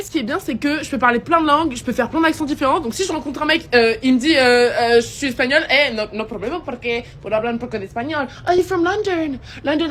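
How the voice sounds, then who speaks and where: A woman in a video clip, bubbly, switching rapidly between imitated accents. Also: on the high side.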